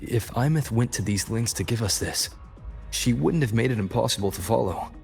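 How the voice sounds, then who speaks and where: A man playing a calm, resolute game hero, speaking in a measured and sincere way. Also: mid-range voice, creaky, faint music behind, lightly acted. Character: calm, resolute male hero, respected mentor. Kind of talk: scripted game dialogue.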